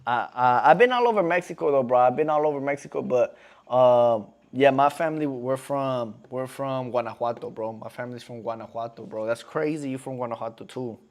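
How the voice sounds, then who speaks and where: A man's voice: mid-range voice, fairly quick. A man in his twenties chatting casually on a live stream in slangy talk, often calling viewers "bro". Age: 20s.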